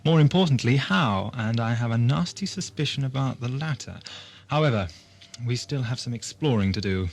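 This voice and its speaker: An actor playing an inquisitive, eccentric time-travelling doctor in a science fiction audio drama, musing aloud in a wry, theatrical way. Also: male voice, mid-range voice, animated, normal speed, lightly acted. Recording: reverberant hall with music behind.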